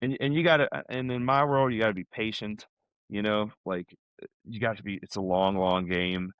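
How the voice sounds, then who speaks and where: A man with a deep voice talks as a guest on a podcast. His delivery is casual and chatty, full of informal phrasing, and the sound is dry with very little room on it. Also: steady tone, brisk, clear, resonant tone, dry recording, highs cut off. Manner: chatty, informal, peppers talk with "man" and "you know". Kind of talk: casual conversational podcast talk.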